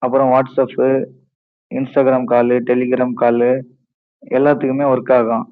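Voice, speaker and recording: A man with a medium-pitched voice demonstrates a product in instructional style. The sound is dry and narrow, like a phone call. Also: no echo. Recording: tinny, dry phone-like audio.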